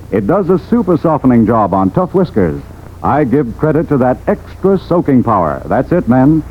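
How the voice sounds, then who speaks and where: An American man announcing commercials on 1940s radio, with a punchy, emphatic salesman's delivery. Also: on the high side. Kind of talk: 1940s radio advertisement, scripted pitch. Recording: old 1940s radio, narrow band.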